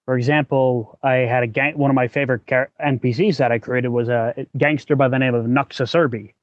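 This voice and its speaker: A man hosting a podcast in casual, explanatory talk, often halting as he thinks aloud. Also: mid-range voice, fairly even intonation, no room sound, dull audio. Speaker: podcast host; working actor.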